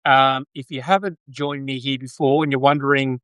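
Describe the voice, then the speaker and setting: An Australian man hosting a casual live political commentary stream, speaking in a halting way and often restating his phrases. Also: mid-range voice, little pitch movement, a bit bright, no echo. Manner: halting, repeats and restates phrases, breaks off to greet viewers. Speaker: podcast host, political commentator. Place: Brisbane, Australia.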